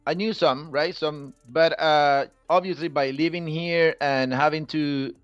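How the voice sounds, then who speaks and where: A man's voice: a little high, steady tone, unhurried, no room sound, lo-fi sound, faint music behind. A middle-aged man from Costa Rica, a native Spanish speaker with a Costa Rican accent, telling his own story casually as an interview guest. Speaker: podcast guest, Spanish teacher.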